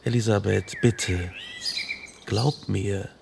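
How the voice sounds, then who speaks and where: An actor playing a tormented, guilt-ridden young scientist in a German radio drama, grave and intense. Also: male voice, fairly low pitch, fairly even intonation, takes it slowly, gravelly, noisy background, exaggerated voice. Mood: subdued, pleading. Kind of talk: German radio drama acting, narration and dialogue.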